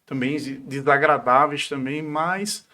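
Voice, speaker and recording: Higher-pitched man, pastor. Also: a little slow, pushed and bright. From Paraíba, northeastern Brazil. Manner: devout, frequent tag questions. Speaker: pastor, interview guest. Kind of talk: devout personal testimony in a conversational interview.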